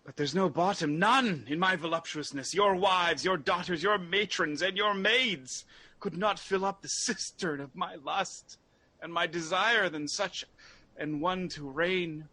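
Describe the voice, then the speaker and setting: Young exiled prince, male actor: anguished and fervent, bright forceful husky voice, halting classical stage delivery. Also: high voice, full character voice. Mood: self-accusing, fervent. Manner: halting, theatrical, impassioned delivery. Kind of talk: Shakespearean radio drama acting.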